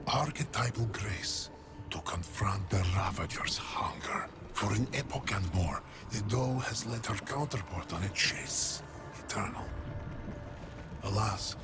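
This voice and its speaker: An actor playing an ancient, plague-corrupted forest elder in a video game, solemn, weary and mournful, with breathy, archaic, deliberate speech that sinks toward whispers. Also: male voice, on the low side. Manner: grave, archaic, deliberate storytelling with long pauses. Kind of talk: video game character dialogue, dramatic lore monologue. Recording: loud background music under the voice. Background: music.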